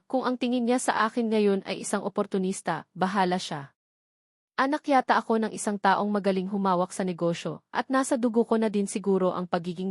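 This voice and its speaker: A woman's voice: mid-range voice, a little mellow, dry recording. Woman narrating a novel, dry close sound. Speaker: audiobook narrator. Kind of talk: scripted first-person novel narration with dialogue.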